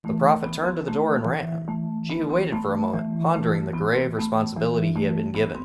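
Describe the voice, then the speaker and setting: Guy narrating bible story over loud guitar, steady scripted delivery. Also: mid-range voice, fast. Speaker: host and narrator. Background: guitar music.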